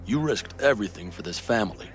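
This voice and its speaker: An actor playing a stern, solemn nomad clan leader who speaks in a measured, formal way in a video game. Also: male voice, on the low side, expressive, slight background noise, lightly acted. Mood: firm, resolute. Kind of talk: cinematic video game acting.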